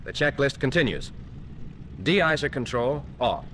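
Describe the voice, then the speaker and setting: An American man narrating a scripted 1940s instructional film in a crisp, methodical manner, on a vintage film soundtrack. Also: medium pitch, sing-song, a little slow, a bit bright, a little hiss or hum.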